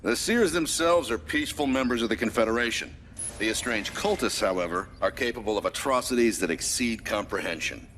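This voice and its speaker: A man playing an authoritative military commander who gives mission briefings in a firm, crisp, commanding manner. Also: medium pitch, expressive, fairly quick, fairly bright tone, a little hiss or hum, natural voice. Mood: stern, informative. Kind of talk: scripted game mission briefing.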